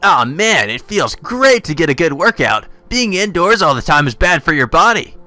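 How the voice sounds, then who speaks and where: A man's voice: a little high, very animated, moderate pace, bright, forceful tone, no room sound, faint music behind, heavily acted. A man voicing a mockingly cheerful, sadistic mascot bear from a video game, theatrical and taunting. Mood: bossy, energetic. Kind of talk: video game character voice-over.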